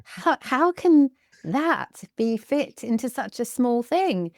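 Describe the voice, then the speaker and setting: A woman's voice: a little high, sing-song, slow, no room sound, clean studio sound. A woman hosting an interview, asking questions with enthusiasm. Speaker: podcast host.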